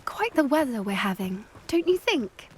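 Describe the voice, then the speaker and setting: A woman playing a gentle, earnest British teenage schoolgirl in scripted game dialogue, soft-spoken and fond of magical beasts. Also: a little high, sing-song, normal speed, slight background noise. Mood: friendly, secretive.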